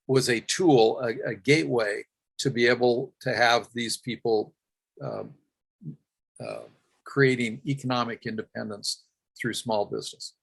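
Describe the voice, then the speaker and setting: Man, workshop presenter, gravelly voice, halting with lots of "uh"s. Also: medium pitch, a bit bright. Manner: frequent "uh" fillers and self-corrections. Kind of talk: recounting a field project and answering questions.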